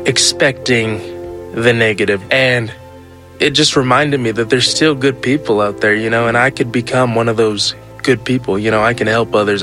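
Brief: man, average-pitched voice, easygoing and informal, lots of "like" and "you know", faint music behind. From United States. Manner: relaxed, many fillers and "you know"s. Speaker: interviewee. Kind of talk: casual personal storytelling.

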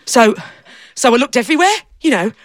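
A man's voice: extremely high pitch, big pitch swings, a little fast, fairly bright tone, exaggerated voice. A woman voicing a bewildered, childlike man who believes he has lost himself. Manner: earnest, childlike, wide-eyed.

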